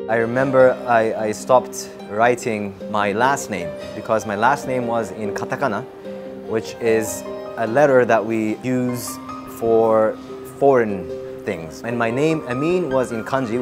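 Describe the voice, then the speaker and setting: A young man from Japan giving thoughtful, measured interview answers, often pausing and restarting. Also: fairly low pitch. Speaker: interviewee, religion student.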